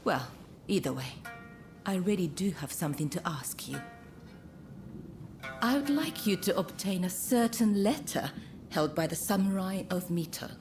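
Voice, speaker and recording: A woman playing a poised, coy pleasure-district go-between in a video game, with measured phrasing and teasing pauses. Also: lowish voice, expressive, darkish tone, soft background music. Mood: firm, instructing. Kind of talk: dramatic video game dialogue.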